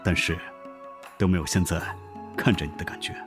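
Casting: actor as protective middle-aged prince and father, deep, measured, reassuring, costume audio drama over music. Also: steady tone, close to an everyday voice. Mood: gentle.

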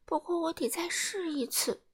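Lady doing a shy little storybook man, soft hushed high voice, super polite and hesitant. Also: moderate pace. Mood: dejected. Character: small, timid, meek quiet man from a storybook.

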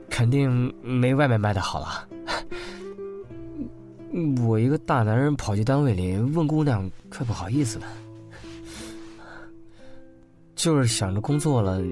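A man's voice: mid-range voice, natural voice. An actor playing a reflective, tender man looking back on his life in a Mandarin radio drama. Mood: shy, earnest. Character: man wistfully narrating a lost past, warm husband and father. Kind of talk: Mandarin radio drama acting with inner monologue. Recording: music under the voice.

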